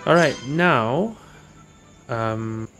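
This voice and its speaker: A game streamer talks casually over gameplay at a very slow pace, muttering small reactions. The voice sits at a middling pitch, with music playing behind it. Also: animated.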